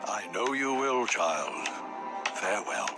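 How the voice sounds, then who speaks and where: A man playing a stern but fatherly master wizard in a fantasy game cutscene, measured and authoritative. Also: fairly low pitch, big pitch swings, over loud music, full character voice.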